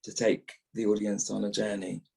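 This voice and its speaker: A man's voice: on the low side, monotone, normal speed, gravelly, darkish tone. A man in his fifties speaking as an actor being interviewed, reflective, stressing points by repeating phrases. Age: 50s.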